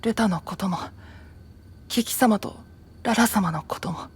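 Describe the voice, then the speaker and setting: An actor playing a gentle, caring young male knight, soft and earnest, in a fantasy voice drama. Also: high-pitched, measured pace, gravelly, slightly rough, exaggerated voice. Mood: earnest, pleading.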